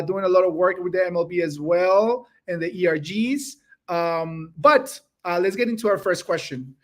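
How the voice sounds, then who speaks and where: High-voiced chatty male host. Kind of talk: casual interview hosting.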